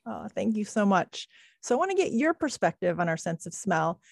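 A woman's voice: mid-range voice, very animated, a little fast, creaky, a little mellow, dry recording, clean studio sound. A woman hosting an interview podcast, encouraging her guest with plenty of affirming interjections. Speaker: podcast host.

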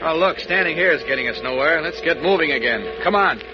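An actor playing a brisk, friendly, level-headed leader in a 1940s radio serial. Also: male voice, on the high side, animated, over loud music, close to an everyday voice. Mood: brisk, decisive. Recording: muffled old radio recording, faint noise.

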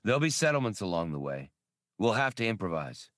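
A man's voice: on the low side, very animated, brisk, a bit bright, no echo, studio quality. A man voicing a brash, stubborn starship captain in an audiobook, with a blunt, argumentative manner. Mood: practical. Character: brash, stubborn male space pilot captain.

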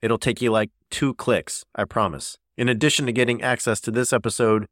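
A man's voice: medium pitch, steady tone, brisk, fairly bright tone, no room sound, studio quality. A middle-aged man hosting a podcast, chatting in a friendly, joking way with hesitant fillers. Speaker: podcast host. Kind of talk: casual podcast chat.

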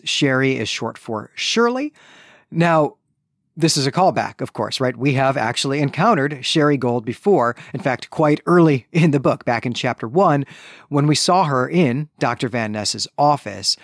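A man's voice: mid-range voice, expressive, normal speed, no room sound, studio quality. A man co-hosting a book podcast, explaining and analyzing a story and often checking in with a rhetorical question. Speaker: podcast co-host. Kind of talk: analytical book recap discussion.

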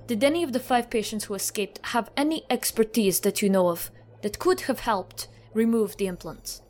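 A woman's voice: a little high, normal speed, darkish tone, lightly acted. An actress playing a formal, direct woman investigator who questions probingly in a science fiction audio drama. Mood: formal, inquiring.

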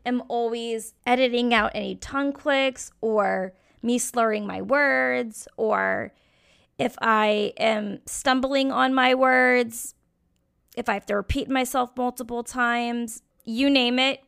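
A woman's voice: a little high, fairly even intonation, slow pace, vocal fry, fairly bright tone, clear, resonant tone. A young woman hosting her own podcast, talking candidly in a casual solo monologue full of "like" fillers. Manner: candid, frank, frequent "like" fillers. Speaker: podcast host.

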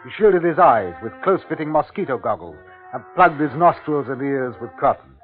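An actor playing an anxious, earnest commissioner who narrates a 1950s radio drama in a grave, measured, theatrical way. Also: male voice, mid-range voice, animated, lightly acted. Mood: grave, ominous. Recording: tinny 1950s radio recording with music.

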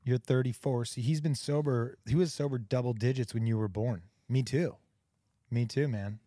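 A male podcast host talks loudly and swears freely, full of excitement. His voice is mid-pitched, and the sound is dry and close. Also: normal speed, no echo. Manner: loud, profane, excitable. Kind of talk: casual chat.

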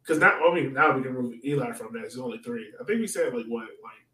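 A man's voice: medium pitch, lively intonation, quick pace, bright, forceful tone. Echoey, distant-miked man chatting sports. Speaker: podcast host. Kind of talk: casual sports podcast chat. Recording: roomy echo, distant mic.

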